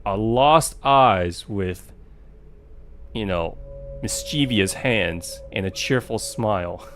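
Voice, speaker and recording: A man's voice: on the low side, lively intonation, slow, fairly bright tone. A man doing casual let's play commentary, jokingly talking back to the game. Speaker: game streamer. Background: game music.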